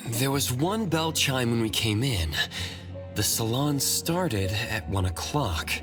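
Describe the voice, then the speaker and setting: Casting: calm, practical young male sidekick for a game, average pitch, sensible delivery, music behind. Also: takes it slowly. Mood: calm, reasoning. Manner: reasoned, matter-of-fact. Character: calm, level-headed young male companion. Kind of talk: video game voice acting.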